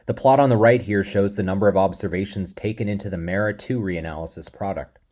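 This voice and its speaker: A man delivering a scripted lecture in a measured, steady explanatory way. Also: deep voice.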